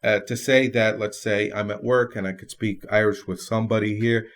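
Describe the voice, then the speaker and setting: Low-voiced Lebanese-born man, thoughtful. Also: flat delivery. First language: Lebanese Arabic. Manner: thoughtful, with frequent pauses and restarts. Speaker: guest. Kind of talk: casual conversation.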